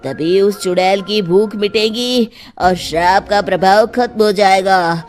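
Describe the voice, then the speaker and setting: A woman's voice: medium pitch, a little slow, over music, lightly acted. A woman voicing a grave old male tantric holy man in a Hindi cartoon, solemn and portentous. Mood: commanding. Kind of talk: cartoon voice acting.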